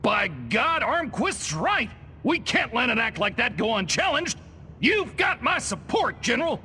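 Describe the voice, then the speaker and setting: A man playing a fervent, excitable war council hawk in a video game. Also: very high voice, measured pace, heavily acted. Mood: indignant fervor. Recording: reverberant hall with faint noise.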